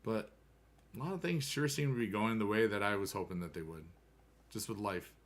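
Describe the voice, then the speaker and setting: A man's voice: fairly low pitch, fairly quick. An American man in his thirties chatting casually with viewers on a game stream, rambling and upbeat with playful rhymes.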